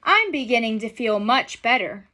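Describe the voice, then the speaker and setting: A young woman voicing a kindly, weary, sniffly old zookeeper in gentle storybook dialogue. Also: on the high side, sing-song, moderate pace. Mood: gentle, content.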